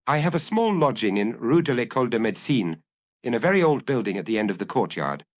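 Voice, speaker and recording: A man's voice: mid-range voice, big pitch swings, fast, no room sound, natural voice. A man voicing a troubled young Frenchman in an audiobook, melancholy and hesitant as he explains himself earnestly. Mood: resigned. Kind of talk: dramatised audiobook dialogue.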